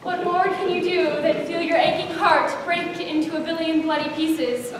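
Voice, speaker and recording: A woman's voice: extremely high pitch, fairly even intonation, moderate pace. A teenage girl performing a melodramatic, gushing reading in a school readers theater. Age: teens. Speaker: student performer. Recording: echoey room from far off with noise.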